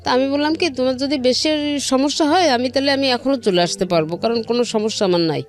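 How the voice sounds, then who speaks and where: A woman's voice: high-pitched, fast talker. A middle-aged woman with a Bangladeshi accent, telling her own life story conversationally as an interview guest, recounting who said what in detail. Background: background music.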